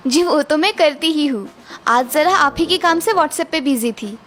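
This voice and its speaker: A woman's voice: sits high, unhurried, a bit bright, soft background music. A woman voicing a kind, capable young daughter-in-law in a children's cartoon story, polite and reassuring. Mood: concerned, reassuring. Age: young adult.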